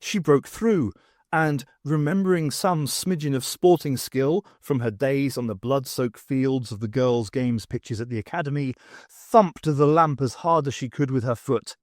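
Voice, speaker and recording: A man's voice: medium pitch, unhurried, no echo, clean studio sound. A man reading scripted story narration as the narrator of an audiobook.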